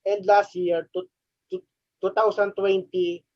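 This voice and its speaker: High-voiced man, halting questions. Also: little pitch movement, a little mellow, highs cut off. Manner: halting, repeats words, simple phrasing. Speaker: interview host. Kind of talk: interview questions.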